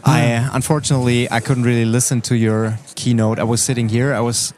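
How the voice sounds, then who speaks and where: A man's voice: mid-range voice, level tone, fairly quick, darkish tone. A man hosting a podcast interview, asking friendly questions and repeating words as he forms them. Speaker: podcast host. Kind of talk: conversational interview.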